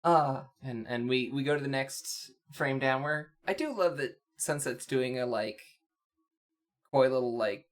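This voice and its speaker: A podcast co-host talks casually through a comic. The delivery is wry and halting, with small nitpicks, in a mid-range pitch. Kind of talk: casual chat, comic commentary.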